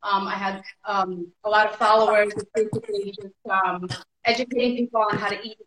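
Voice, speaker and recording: A woman's voice: medium pitch, steady tone, brisk, a bit bright, a little hiss or hum. An American woman in her thirties, a fitness entrepreneur, chatting as a guest with many fillers over a video call. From United States. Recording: echoey, dull lo-fi call audio.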